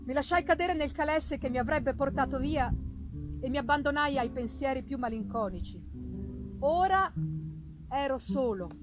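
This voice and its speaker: A woman giving a dramatic literary narration in the first person, deliberate and theatrical, pausing for effect. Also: high voice, lively intonation, music in the background, rough recording. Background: live musical accompaniment.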